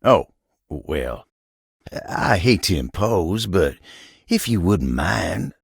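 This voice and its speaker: A gravelly, slow-drawling Southern cowboy type. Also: male voice, deep voice, very animated, no echo, studio quality, full character voice. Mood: reluctant, embarrassed. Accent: American Southern. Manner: folksy drawl, humble and earnest. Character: gentle, folksy living table, cowboy-like helper. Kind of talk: video game character voice acting.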